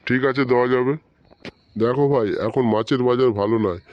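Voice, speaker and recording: A man acts out a middle-aged fish merchant in a cartoon comedy, a shrewd father-in-law with a mid-pitched voice. He talks firmly, all business. The sound is very dry and a little muffled. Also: brisk, no room sound, natural voice. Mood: firm, unyielding. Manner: firm, businesslike.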